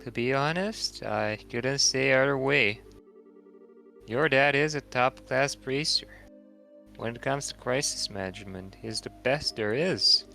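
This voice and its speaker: A man voicing a calm, experienced woman mentor in an amateur read-through of a video game, measured and serious in delivery. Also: mid-range voice, gravelly, soft background music. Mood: serious, reassuring. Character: calm, experienced older-sisterly woman, seasoned adventurer mentor. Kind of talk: amateur voiced video game dialogue reading.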